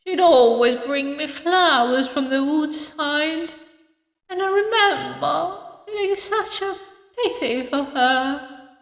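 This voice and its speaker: A woman voicing a tender, grieving old woman in a visual novel, speaking slowly and haltingly with wistful sorrow. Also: sits high, voice pushed up. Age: older. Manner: slow, halting, sorrowful delivery. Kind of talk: visual novel character voice acting. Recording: echoey hall reverb, distant sound.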